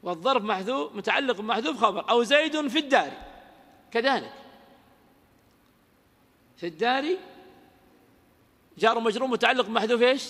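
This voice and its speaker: A man teaching grammar in a lecture, quizzing his listeners with rhetorical questions and reciting verse lines slowly. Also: extremely high pitch, lively intonation, a bit bright. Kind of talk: lecture explaining a classical grammar poem. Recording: lecture audio with long hall reverb.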